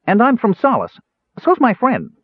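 A man's voice: very high voice, wildly swooping pitch, moderate pace, slightly rough, dry recording, phone-quality sound, voice pushed up, full character voice. A man voicing a small, naive, eager kender in an audiobook, rambling earnestly and easily wounded. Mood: chatty, indignant.

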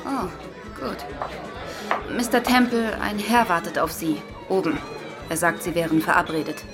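A woman's voice: lowish voice, fairly even intonation, moderate pace, over music, a crowd in the background, natural voice. An actress playing a plain-spoken, matter-of-fact woman working at a pub in a radio crime drama. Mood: businesslike.

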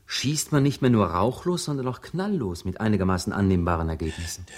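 An actor playing a puzzled, pedantic gentleman in an absurdist radio play, with formal, wordy questioning. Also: fairly low pitch, a little fast, natural voice. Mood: clinical curiosity. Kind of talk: experimental radio play acting. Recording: 1970s radio play, loud music behind. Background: loud music.

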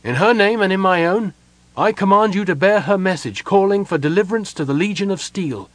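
Young male elf prince, voiced by a male narrator: anguished and imperious, raised pitch, big swings, dry studio sound. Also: sing-song, moderate pace, no room sound. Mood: imperious, impatient. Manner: impassioned, urgent, commanding.

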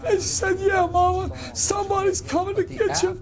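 A woman's voice: very high voice, little pitch movement, moderate pace. A woman giving impassioned testimony, with insistent, emotional repetition. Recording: crowd noise behind the voice.

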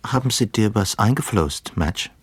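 Very low, husky, tender lover. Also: male voice, expressive, moderate pace, dry recording. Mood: soothing, grave. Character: gentle, concerned male lover soothing a woman. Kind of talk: intimate radio play acting.

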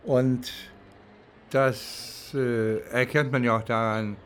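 Slow, halting man from East Germany. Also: medium pitch, fairly even intonation, a bit bright, slight background noise. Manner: halting, deliberate, searching for words. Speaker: interviewee. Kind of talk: reflective spoken recollection.

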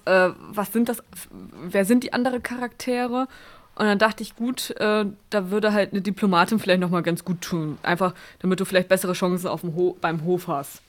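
A young woman co-hosting a casual podcast chat, talking with many fillers and restarts. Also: medium pitch. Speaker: podcast co-host.

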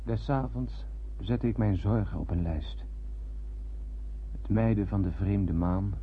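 Een acteur die in een hoorspel een ernstig, bezorgd bemanningslid van een ruimteschip speelt en plechtig, poëtisch vertelt. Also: male voice, low voice. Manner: solemn, deliberate, poetic. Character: grave, troubled male spaceship crewman narrating his tale. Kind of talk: literary radio drama narration. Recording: dull old radio-play recording, faint hiss.

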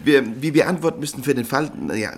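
An actor playing a brusque, sardonic newspaper editor in a radio play, curt and dismissive. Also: male voice, a little high, quick pace, lightly acted. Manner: curt, dismissive, theatrical delivery. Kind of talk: radio drama acting.

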